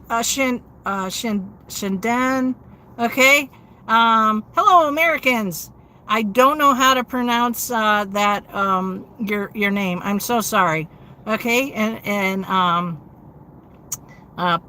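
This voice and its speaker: A woman, hosting a casual livestream and reading viewer comments in a steady, low-inflection way with frequent ums. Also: takes it slowly, a little hiss or hum. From New England. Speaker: livestream host.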